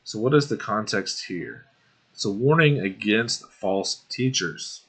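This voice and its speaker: A young man with a bright, forceful voice that sits a little low, leading a casual study of scripture. He takes it slowly, says um often and clears his throat between points. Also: animated. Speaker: Bible study video host. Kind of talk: casual screen-share Bible study walkthrough.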